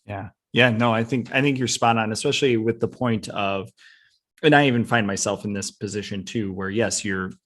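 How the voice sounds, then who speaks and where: A man's voice: on the low side, normal speed, a bit bright. A man hosting a podcast, asking long, rambling questions full of repeated words. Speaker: podcast host, company founder. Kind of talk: podcast interviewing.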